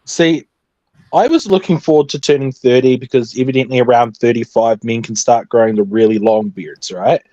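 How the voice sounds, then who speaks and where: A man's voice: medium pitch, normal speed, mellow, no room sound, muffled recording. A man in his late twenties chatting casually as a livestream guest, joking and self-deprecating. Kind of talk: casual chat.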